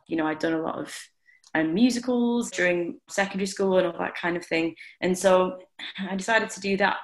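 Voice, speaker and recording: A young woman with a Lancashire accent, answering interview questions in a thoughtful way with frequent restarts. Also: fairly low pitch. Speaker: guest theatre maker and composer. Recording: roomy echo.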